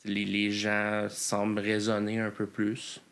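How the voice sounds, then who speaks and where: Thoughtful man, bright voice. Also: fairly low pitch, monotone. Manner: thoughtful, halting explanations. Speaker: band member guest. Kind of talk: casual interview answers.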